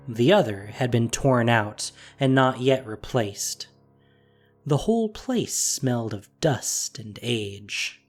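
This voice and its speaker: A man reading a fantasy story aloud in a measured, expressive way. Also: medium pitch. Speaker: narrator. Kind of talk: storybook narration.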